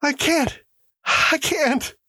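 A sobbing, terrified prisoner. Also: male voice, steady tone, very slow, pushed and bright, no room sound, clean studio sound, heavily acted. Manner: pleading, stammering, high strained voice.

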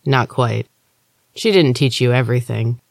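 A narrator voicing a smug, menacing male rival with a measured manner in a fantasy story. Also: mid-range voice, creaky, no echo, natural voice. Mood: smug, needling. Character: menacing male rival, cat-eyed, smug magic user.

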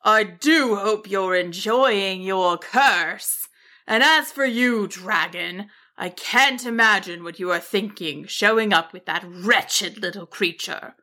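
A woman's voice: medium pitch, slow, sharp tone. A woman voicing a spiteful, stubborn male wizard in a children's story, snarling and huffy. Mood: snarling, scornful.

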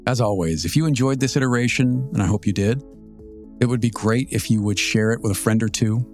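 A man in his fifties with an American accent, telling a scripted personal story as a podcast host in a measured, reflective, articulate way. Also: medium pitch, a little mellow. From Southern California, United States. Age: 50s. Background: music.

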